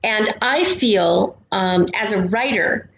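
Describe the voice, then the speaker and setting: A woman's voice: medium pitch, animated, slow, creaky, a bit bright. A woman talking casually and reflectively as a guest writer in a podcast interview. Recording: roomy, dull lo-fi, faint noise.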